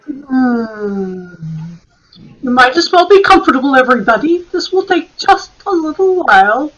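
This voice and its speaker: Woman acting a slow, high-voiced shrewd police sergeant. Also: a bit bright, husky, heavily acted, rough recording. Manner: musing, drawn-out, theatrical. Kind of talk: radio mystery acting.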